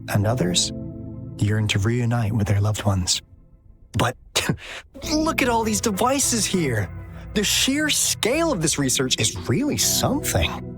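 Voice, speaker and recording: A wry easygoing explorer. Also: male voice, mid-range voice. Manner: laid-back, wry, curious. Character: easygoing male treasure-seeking explorer. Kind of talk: video game cutscene acting. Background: music.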